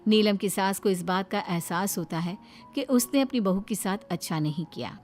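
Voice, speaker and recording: A woman's voice: mid-range voice, normal speed, a little mellow. A woman giving scripted storytelling narration for a moral story. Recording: background music under the voice.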